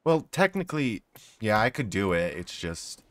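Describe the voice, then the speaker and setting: Man, low-ish voice, slightly hoarse, losing his voice, rambling gameplay chatter. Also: very animated, normal speed, fairly bright tone. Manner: rambling, talks to himself and his creatures. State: losing his voice, sore throat. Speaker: gaming YouTuber. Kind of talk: casual gameplay commentary.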